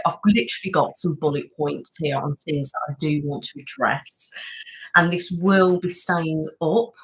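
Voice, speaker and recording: A woman's voice: low-pitched, low-quality recording. A young woman hosting a casual live-stream chat, greeting viewers by name and talking about how tired she is after a house move. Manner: chatty, thanks viewers by name, frequent "um" and "literally". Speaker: ASMR channel host.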